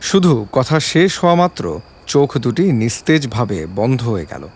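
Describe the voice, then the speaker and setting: A man's voice: mid-range voice, very animated, moderate pace, breathy edge. A man giving a dramatic scripted narration of an audio story.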